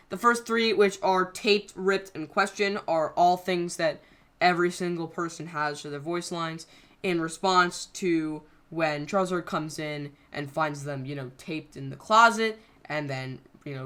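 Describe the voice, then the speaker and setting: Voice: medium pitch, pushed and bright, clean tone. A channel creator casually explaining scrapped plans in a rambling way, full of fillers and self-corrections. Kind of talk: casual behind-the-scenes commentary.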